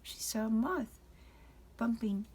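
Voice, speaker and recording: An older woman, a grandmother, reading a children's picture book aloud in an unhurried way, pausing to address the children. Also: fairly high pitch, background noise.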